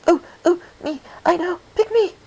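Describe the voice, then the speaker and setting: A man voicing a bouncy, cheerful, childlike female cartoon pony in an excitable, eager, gushing manner. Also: extremely high pitch, moderate pace, voice pushed up.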